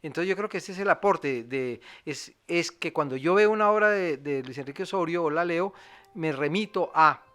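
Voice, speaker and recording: Male theatre commentator, fairly high and very bright voice, discursive and reflective, seeks agreement. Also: expressive, normal speed. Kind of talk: reflective spoken analysis.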